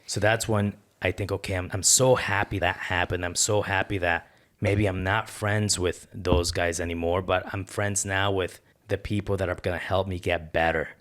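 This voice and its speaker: A man's voice: sits low, steady tone, normal speed, fairly bright tone. A man, a podcast guest telling personal stories in an earnest, colloquial way. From born in Ecuador, moved to the United States. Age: 30s. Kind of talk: casual personal storytelling.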